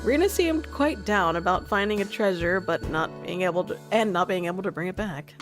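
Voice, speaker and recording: A woman's voice: lowish voice, expressive, fairly quick, music in the background. A woman streaming a visual novel, reading narration aloud with giggly, amused asides. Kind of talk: live game stream with read-aloud narration and reactions.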